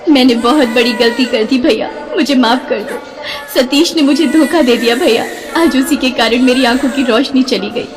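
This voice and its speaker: A woman voicing a betrayed blind young mother in an animated story, plaintive and pleading with emotion. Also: high voice, moderate pace, close to an everyday voice. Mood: tearful. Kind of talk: melodramatic Hindi animated story acting.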